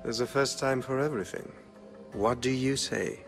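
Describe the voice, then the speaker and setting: A man playing a smooth-talking, calculating rich patron in a video game cutscene, measured and coolly condescending. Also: medium pitch, natural voice. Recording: long reverb, background music.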